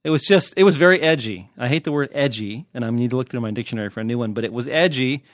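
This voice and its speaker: An American man talks casually as a podcast host, with a wry and joking manner. His voice sits at a middling pitch, recorded close and dry with no room sound. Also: fast, no echo. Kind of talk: casual, unscripted podcast chat answering listener questions.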